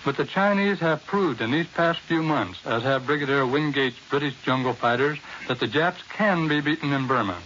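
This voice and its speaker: An American man giving a formal, measured, scripted war correspondent's report on 1940s radio. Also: fairly high pitch, a bit bright, dull audio. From United States. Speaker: war correspondent, interview guest. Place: New York radio studio. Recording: hissy, band-limited 1940s radio recording.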